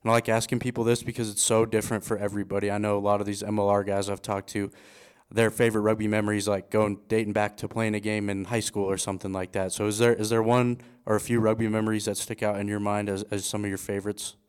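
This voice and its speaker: Male podcast host, low smooth voice, flat delivery, chatty and relaxed. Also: fast. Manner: relaxed, chatty, with frequent restarts and fillers. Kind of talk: casual podcast interview.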